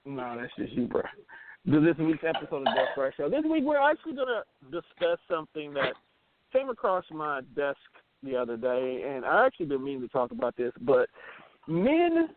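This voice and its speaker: A man with a fairly high voice comes through a thin phone connection. He talks like an internet radio host joking around, slangy and profane, with playful banter. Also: huge pitch swings, moderate pace, no room sound. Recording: thin phone line with hiss.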